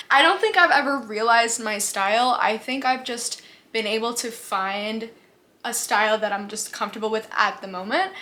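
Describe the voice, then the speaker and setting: Young woman, twenties, from the US, casual vlog Q&A, bright voice, slightly high, chatty, laughs easily, talking to camera. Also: normal speed. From United States. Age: 20s. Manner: chatty and upbeat, laughs easily, says "like" often. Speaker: vlogger. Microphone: camera mic, talking to camera.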